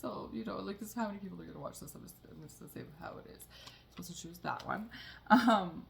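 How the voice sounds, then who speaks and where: A woman's voice: medium pitch, quick pace, vocal fry. A woman giving a casual, rambling spiritual card reading to her viewers, peppered with "like" and the odd swear. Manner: chatty, rambling, swears casually, frequent laughs and "like". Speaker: tarot reader.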